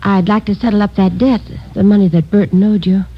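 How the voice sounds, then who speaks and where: An actress playing a gentle, hesitant grieving widow in a 1950s radio drama. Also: female voice, mid-range voice, fairly even intonation, a little fast, lo-fi sound, a little hiss or hum, natural voice.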